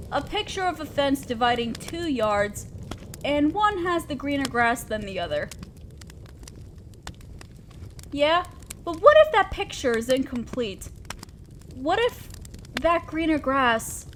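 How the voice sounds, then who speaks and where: A woman's voice: sits high, slight background noise. A woman playing a shy, awkward, kind young neighbor in an intimate roleplay audio drama, speaking hesitantly and apt to ramble self-consciously. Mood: musing, wry. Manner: hesitant, soft-spoken, rambling, self-deprecating. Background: outdoor fire ambience.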